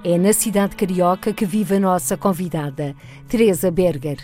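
A woman presenting a news magazine, reading a scripted introduction in a measured, formal way. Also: on the low side, fairly even intonation, warm tone, soft background music.

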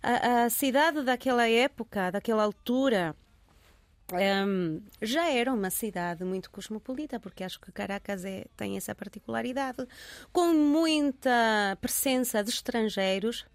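A woman from Venezuela, a singer and former professor, telling stories in a reflective, unhurried way during an interview. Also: fairly high pitch, dry recording. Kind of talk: conversational interview.

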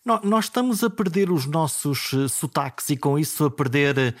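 A man's voice: fairly high pitch, expressive, normal speed. Reflective European Portuguese male interviewer. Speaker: interviewer, show host. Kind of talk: conversational interviewing with reflective comments.

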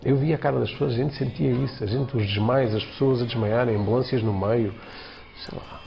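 Man, European Portuguese accent, deep-ish fry, interview recollection, muffled clip, music behind. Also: a little fast. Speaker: former boy band singer. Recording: dull archive interview clip, music over it.

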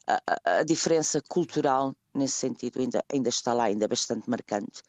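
A middle-aged woman from Portugal speaks with a European Portuguese accent. She answers interview questions slowly and reflectively, repeating words and pausing as she thinks. The sound is dry and close, with no room echo. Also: very deep voice, a little mellow. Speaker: guest, emigrant.

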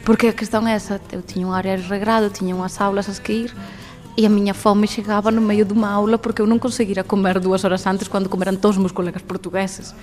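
A woman in her twenties from Galicia with a Galician accent, speaking as a guest on a radio programme, lively and laughing often. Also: mid-range voice, quick pace, faint music behind. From Galicia, Spain. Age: 20s. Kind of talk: radio testimony.

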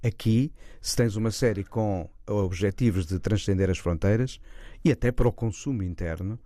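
Mellow low-voiced man. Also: moderate pace, no room sound. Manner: assertive, argues with examples. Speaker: panel commentator. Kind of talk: casual discussion.

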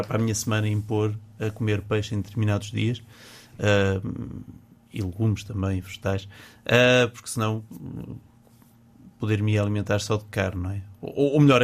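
A man's voice: fairly low pitch, steady tone, normal speed. A man from Porto with a northern Portuguese accent, a guest in a casual radio interview who talks in a chatty, hesitant way, repeating words and laughing easily. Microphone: studio microphone.

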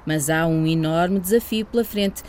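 A woman reporter narrating a news story in a measured, clear voice. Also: on the low side, darkish tone.

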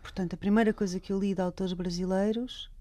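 Lively woman in her fifties. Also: mid-range voice, quick pace. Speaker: radio book show co-host.

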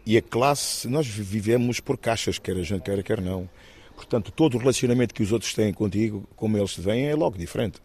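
A man in his fifties from Guinea-Bissau speaks quickly but haltingly, circling back over his words with fillers and restarts. His voice sits low and mellow. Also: breathy edge. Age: 50s. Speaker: interviewee, actor and musician. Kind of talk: reflective personal interview.

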